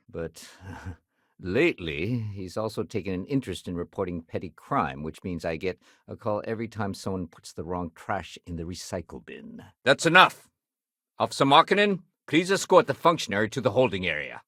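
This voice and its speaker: The lowish-voiced, wry, by-the-book police sergeant you'd meet at a spaceport desk in a sci-fi game, clipped and dutiful, his pitch moving freely. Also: no room sound, clean studio sound. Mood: exasperated, firm. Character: male city security sergeant, dry, no-nonsense, dutiful. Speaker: video game character voice actor. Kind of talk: video game dialogue.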